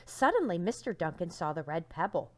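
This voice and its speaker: A woman teacher reading a storybook aloud to young children, dramatic and expressive, with hushed phrases and questions to listeners. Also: on the low side, brisk.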